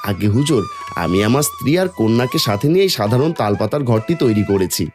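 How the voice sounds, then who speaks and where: A man's voice: lowish voice, big pitch swings, fast talker. Man acting poor humble villager, cartoon story, deferential, polite, varied intonation, faint music. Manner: modest, deferential.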